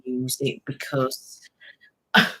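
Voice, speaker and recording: Woman, relaxed live-chat host who rambles and laughs a lot, fits an easygoing streamer read. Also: extremely slow, rough recording. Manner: chatty, rambling, laughs often, thanks viewers by name. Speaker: ASMR channel host. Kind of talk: casual live-stream chat, reading comments.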